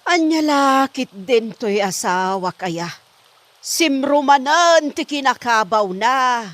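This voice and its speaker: A woman's voice: high voice, animated, slow pace, a bit bright, no echo, full character voice. A woman playing a suspicious, scolding wife in a comedy radio drama, firing questions at her husband. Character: nagging, suspicious wife of a forgetful old man.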